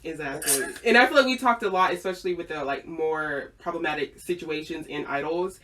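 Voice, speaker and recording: A woman hosting a casual fan chat, giggling often and talking in halting bursts full of fillers. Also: sits low, a bit bright, a little hiss or hum. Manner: giggly, many fillers and restarts. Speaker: host. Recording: distant mic.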